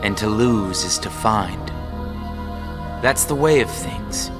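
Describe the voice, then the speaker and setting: An actor playing a solemn, aloof and mysterious man who speaks in a measured, enigmatic way in a video game. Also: lowish voice, expressive. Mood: cryptic, solemn. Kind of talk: animated video game dialogue. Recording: loud music under the voice.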